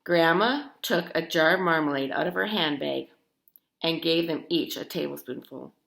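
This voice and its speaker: A woman's voice: sits low, normal speed, creaky, fairly bright tone, poor audio. A woman reading a children's story aloud in a theatrical, emphatic way, with chatty asides to the listener. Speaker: storybook reader.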